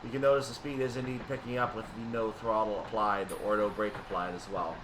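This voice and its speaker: A man giving casual commentary on a train simulator, thinking aloud and explaining in an unhurried way. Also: on the low side, little pitch movement. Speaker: gaming video creator. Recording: distant mic, clear background noise.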